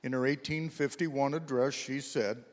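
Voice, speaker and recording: A man reading scripted historical narration for a readers theater in a measured, formal way. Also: medium pitch, fairly even intonation. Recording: echoey hall, long reverb.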